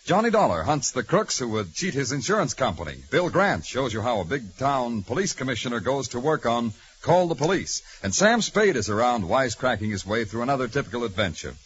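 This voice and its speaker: A man's voice: medium pitch, very animated, fairly quick, hardly any pauses, fairly bright tone, husky. A man working as a radio announcer, with a polished promotional 1940s delivery. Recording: muffled old radio broadcast.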